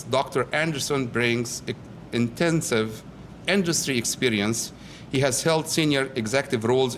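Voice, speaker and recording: A man's voice: mid-range voice, a little hiss or hum. Man reading a formal welcome. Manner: measured, reads from notes with small slips. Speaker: faculty host introducing a keynote speaker. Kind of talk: formal prepared introduction. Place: university event hall.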